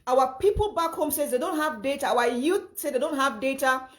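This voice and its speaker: A young woman from Cameroon with a slightly hoarse voice, hosting a casual livestream and greeting viewers by name, often repeating herself. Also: high-pitched, normal speed. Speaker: live chat host.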